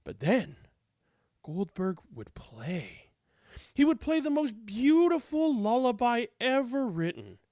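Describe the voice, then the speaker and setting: Storybook narrator, female, comic and dramatic, wildly varied pitch, unhurried. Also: very animated, mellow, no echo, clean studio sound. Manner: dramatic, comic, emphatic delivery. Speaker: read-aloud narrator. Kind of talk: animated children's storybook reading.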